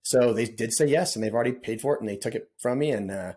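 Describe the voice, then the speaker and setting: A man's voice: medium pitch, quick pace, warm tone. A middle-aged man telling stories as a podcast guest in a casual, laid-back way, often saying dude and man. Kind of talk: casual storytelling interview.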